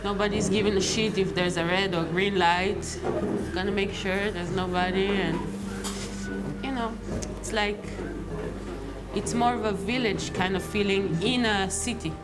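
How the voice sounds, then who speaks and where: A woman from Israel speaks slowly and reflectively, often pausing and reaching for the right words. Her voice sits at a middle pitch, and loud music plays beneath her throughout. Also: fairly even intonation. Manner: thoughtful, searching for words, frequent fillers. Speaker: documentary interviewee. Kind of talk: reflective personal testimony.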